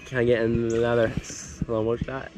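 A young man fishing from a kayak with a friend, talking low-key and explaining his technique, with sudden bursts of excitement. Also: moderate pace, over music. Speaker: fishing buddy. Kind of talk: casual chat while fishing. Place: outdoors on a kayak on a lake. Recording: noisy outdoor camera audio.

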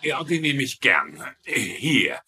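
Bumbling guard, piercingly bright. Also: male voice, mid-range voice, big pitch swings, takes it slowly, heavily acted. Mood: sheepish, curious. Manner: fussy, repetitive protesting. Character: nervous, bumbling, good-natured German camp guard. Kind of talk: dubbed sitcom comedy.

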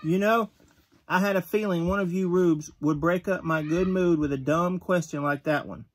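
Gruff man reading a wrestler's words. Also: high-pitched, normal speed. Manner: gruff, tough-guy reading with occasional stumbles. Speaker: father reading a magazine interview aloud. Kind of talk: reading an interview aloud. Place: bedroom with shelves of collectibles. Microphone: phone camera at close range.

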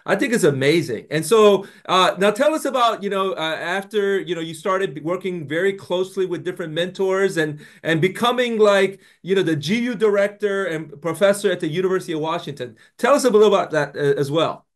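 Middle-aged host guy, high voice, chatty and upbeat, lots of "like" and "you know". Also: brisk, few pauses. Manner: casual and enthusiastic, says "you know" and "like" often. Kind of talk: interview hosting. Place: home office, video call. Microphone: desk microphone.

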